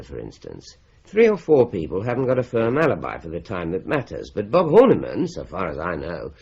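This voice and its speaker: An actor playing a steady, methodical police inspector, measured and confident, in a muffled old radio play. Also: male voice, lowish voice, breathy edge, exaggerated voice. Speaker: detective inspector. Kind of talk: 1950s-style radio drama acting. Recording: dull, muffled vintage radio recording.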